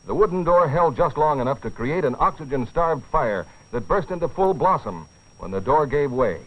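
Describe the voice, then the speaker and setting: A man's voice: fairly high pitch, expressive, moderate pace. A man delivering dramatic, solemn scripted narration for an educational film, with rhetorical weight. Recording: dull, dated film soundtrack with music.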